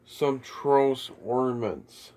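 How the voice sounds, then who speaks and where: Puppeteer for a home show: very slow, halting delivery, short answers, phone recording in a living room. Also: mid-range voice, warm tone. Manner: brief, halting replies with repetitions. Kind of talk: casual homemade puppet play. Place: living room beside a Christmas tree at home. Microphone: phone camera a short way off.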